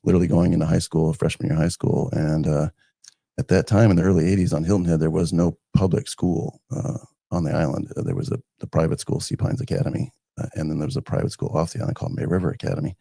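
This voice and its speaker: A man's voice: extremely low pitch, steady tone, fast, vocal fry, mellow, no room sound. A man in his fifties from northern New Jersey, a guest telling his life story in a loose, rambling way full of fillers and restarts. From northern New Jersey, United States. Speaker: guest, community foundation director. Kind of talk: casual conversational storytelling.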